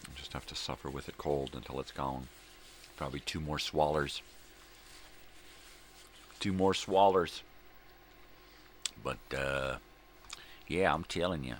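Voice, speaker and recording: A middle-aged man with a gravelly voice, rambling casually and folksily as he hosts a morning vlog, often repeating himself. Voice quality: gravelly, very deep. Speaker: host of a daily vlog. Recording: clear background room noise.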